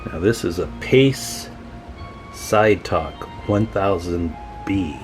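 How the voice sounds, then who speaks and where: Low-voiced man chatting at a workbench. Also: slow, faint music behind. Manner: informal, folksy, talking to viewers while tinkering. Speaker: host of a radio repair channel. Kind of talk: casual hands-on workbench commentary. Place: at a workbench, indoors.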